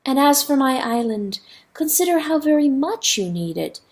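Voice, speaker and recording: A woman doing a calm, knowing river spirit. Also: high-pitched, voice pushed up. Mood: soothing. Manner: soft, measured, gently mocking. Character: calm, wise talking river, mild and knowing. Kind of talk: audiobook character voice.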